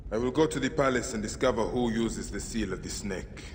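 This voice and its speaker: A man's voice: on the low side, a little fast, natural voice. A man voicing a grave, vengeful warrior and devoted husband in a video game cutscene, speaking with solemn earnestness. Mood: determined. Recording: reverberant hall, loud background noise.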